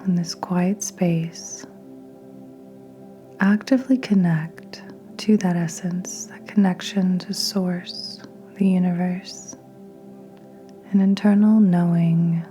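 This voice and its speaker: A woman guiding a meditation in a soft, breathy near-whisper, gentle and soothing, with long unhurried pauses. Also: lowish voice. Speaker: podcast host and meditation guide. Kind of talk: guided meditation narration. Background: soft meditation music with singing bowls.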